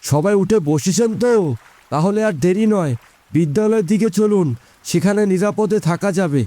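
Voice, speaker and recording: Man voicing a mellow, high-pitched village rescuer. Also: moderate pace, no room sound, natural voice. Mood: reassuring. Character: helpful, practical young village rescuer man. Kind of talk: animated moral story dialogue.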